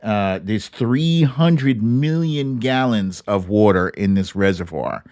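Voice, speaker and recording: A man hosting a livestream, giving informal, wry and halting commentary as he chats with viewers. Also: on the low side, animated, a little mellow, no room sound. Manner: informal, wry, halting delivery with occasional swearing. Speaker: livestream host. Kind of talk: casual live commentary, reading viewer comments.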